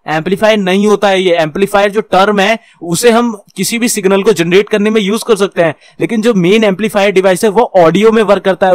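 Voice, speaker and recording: A man's voice: sits high, no room sound. A man with an Indian accent giving an informal tutorial as a course instructor, explaining step by step, checking in often and mixing in English technical terms. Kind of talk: informal screen-share tutorial.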